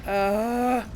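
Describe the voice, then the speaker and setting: Slow, gravelly-voiced woman gamer. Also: a little high, noisy background. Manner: thinking aloud, hesitant, chuckling. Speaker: let's play gamer. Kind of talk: casual gameplay commentary.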